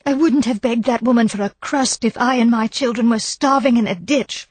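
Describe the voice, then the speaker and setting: A high, breathy voice of a fretful middle-aged wife, as a woman reader puts her on. She sounds flustered and defensive, rising sharply in pitch, recorded close and dry. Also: normal speed, a little mellow, no echo. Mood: indignant.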